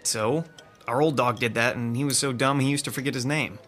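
A man's voice: mid-range voice, fairly even intonation, a little fast, a bit bright, faint music behind, close to an everyday voice. A man acting a wry, skeptical theatre techie with a dry, teasing manner in an audio drama.

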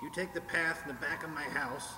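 A man's voice: a little high, brisk. Man acting a subdued cemetery caretaker, echoey. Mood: wary, subdued. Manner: hesitant, subdued, deferential. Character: sleepy, wary male cemetery caretaker, deferential. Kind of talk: old-time radio mystery acting. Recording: echoey hall, quiet voice, clear noise.

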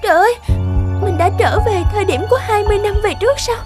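Very high-pitched whiny young woman. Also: fast talker. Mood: frightened. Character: spoiled, carefree young party girl, easily flustered. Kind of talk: dubbed cartoon acting. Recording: music behind the voice.

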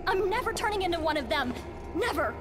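Game role: frightened young woman, very high voice, lively swings, high-strung. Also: quick pace, music in the background. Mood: panicked, upset. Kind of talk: video game drama acting.

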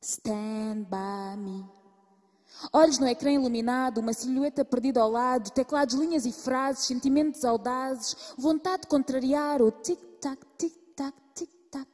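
Female slam poet, fairly high voice, rhythmic delivery, live stage with long reverb. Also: darkish tone, soft background music. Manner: rhythmic, alternating drawn-out words and rapid runs. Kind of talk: slam poetry performance.

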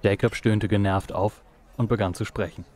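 A man's voice: lowish voice, fairly even intonation, moderate pace, very noisy. A man reading scripted narration for an audio drama. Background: music.

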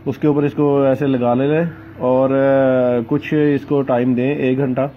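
A man hosting a gardening vlog, talking casually outdoors among potted plants and pausing often with filler sounds, recorded on a handheld phone. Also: medium pitch, flat delivery, dull audio, slight background noise. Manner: hesitant, many filler sounds and pauses. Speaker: gardening channel host.

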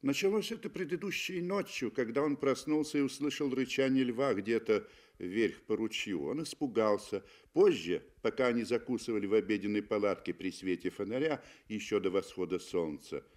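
A man reading literary prose as a narrator in 1960s Soviet radio style, measured and expressive with deliberate pauses. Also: lowish voice.

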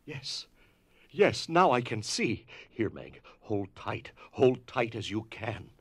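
A man's voice: mid-range voice, big pitch swings, slow, slightly rough, no room sound, heavily acted. A man playing a weary, loving middle-aged father in an audio drama, strained and urgent.

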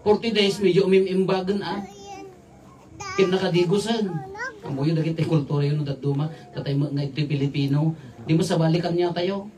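A middle-aged man giving an informal homily as a priest, conversational and jokey, in halting phrases with rhetorical questions. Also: fairly high pitch, fairly even intonation, darkish tone. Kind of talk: informal homily mixing local language with English terms. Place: outdoors at night at a makeshift altar. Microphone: microphone on a stand, filmed from afar. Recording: distant, slightly off-mic capture.

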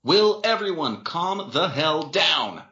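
A man plays a level-headed, muscular young boyfriend in an audiobook. He placates and reasons in a raised, forceful pitch. It is recorded in a roomy space with slightly dull sound. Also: big pitch swings, moderate pace. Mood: exasperated, reasoning.

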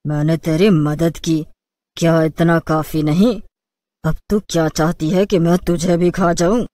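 A woman's voice: deep voice, fairly even intonation, measured pace, mellow. A woman voicing a sly, menacing female witch in a cartoon story, gloating and taunting.

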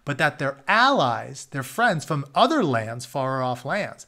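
A man's voice: mid-range voice, sing-song, a little slow, bright, forceful tone. A man in his thirties reading a story aloud in a home office, with informal asides that explain things in plain terms. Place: carpeted home office, indoors.

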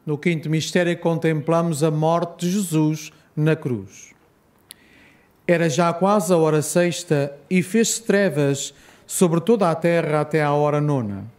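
A man with a European Portuguese accent leading a rosary, reciting solemn prayers in a measured, reverent way. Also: on the high side, darkish tone, faint music behind.

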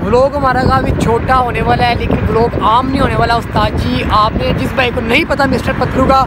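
High-pitched young man, hyping outdoors. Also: flat delivery, fairly quick. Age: 20s. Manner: emphatic, repetitive hyping. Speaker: vlogger. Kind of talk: casual vlog talk to camera. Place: outdoors on a city street at evening. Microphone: phone at arm's length. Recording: loud street and traffic noise.

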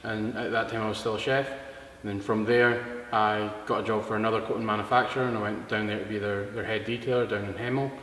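A man's voice: lowish voice, fast talker, hardly any pauses. Scottish man in an echoey hall. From Scotland. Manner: relaxed, matter-of-fact explaining. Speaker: guest, product distributor. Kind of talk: casual interview. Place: large indoor car showroom. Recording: echoey hall, faint room noise.

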